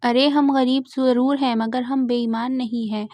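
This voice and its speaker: A woman's voice: sits high, fairly even intonation, normal speed, darkish tone, dry recording, lightly acted. A woman voicing a farmer's honest wife in a cartoon story, firm and principled.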